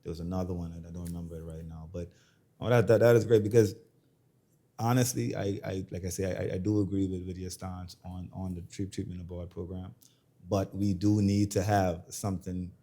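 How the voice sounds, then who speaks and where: A man's voice: low voice, steady tone, a little fast, warm tone. A man hosting a talk show, asking questions in a casual, affirming way with lots of repeated words. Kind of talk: casual interview chat.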